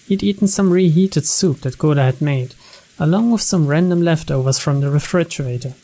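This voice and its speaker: A man's voice: a little high, brisk, mellow. A man reading a mystery story aloud as narrator, in a scripted narration style.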